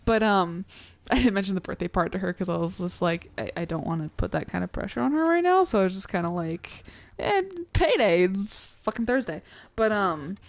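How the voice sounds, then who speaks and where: A woman's voice: mid-range voice, fairly quick, gravelly, dry recording. A young woman from the Pacific Northwest with an American accent, chatting casually over gameplay, acting out quotes in her stories and swearing freely. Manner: animated storyteller, acts out quotes, swears casually. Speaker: co-host of a gameplay let's play.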